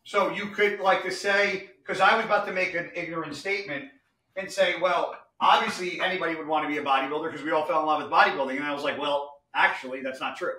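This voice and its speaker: A man in his forties talks in a casual podcast chat, blunt and animated, cursing as he goes. His voice sits a bit high with a bright, forward tone. The room rings with noticeable echo. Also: quick pace. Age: 40s. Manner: blunt, swears freely, animated. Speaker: podcast host.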